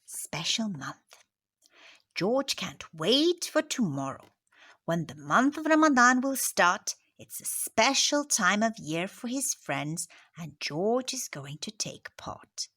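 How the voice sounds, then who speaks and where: A woman teacher reading a picture book aloud to children, expressive and dropping into soft whispers on headings and asides. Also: medium pitch, slow, breathy edge. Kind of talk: children's story read-aloud.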